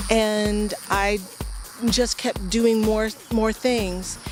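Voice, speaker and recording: Slow, soft-spoken woman with music behind. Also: medium pitch. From Philippines. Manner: hesitant, many ums and restarts, soft-spoken. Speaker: guest, veteran and art-program founder. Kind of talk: interview answers.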